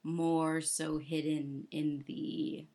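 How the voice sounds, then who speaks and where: A woman's voice: low voice, level tone, extremely slow, creaky, dark tone. A woman co-hosting a podcast, chatting casually about films with lots of "like". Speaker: podcast co-host. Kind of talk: casual movie chat.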